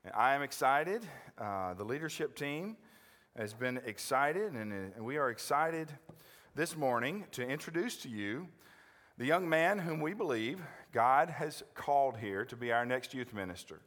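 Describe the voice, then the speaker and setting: The long reverb of a church hall surrounds a man's medium-pitched voice. He is an American pastor with a folksy, informal pulpit manner, often pausing to check in with his congregation. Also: animated, fairly bright tone. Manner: folksy, checks in with "all right?", "okay?", "amen?".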